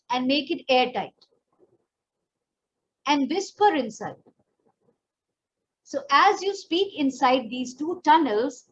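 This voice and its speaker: A woman's voice: fairly high pitch, a bit bright. A woman with an Indian accent giving an instructional voice training lesson as a coach, explaining step by step and speaking straight to the learner. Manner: explanatory, demonstrative, addressing the learner directly. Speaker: pageant and personal development trainer. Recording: dull lo-fi audio, faint background noise.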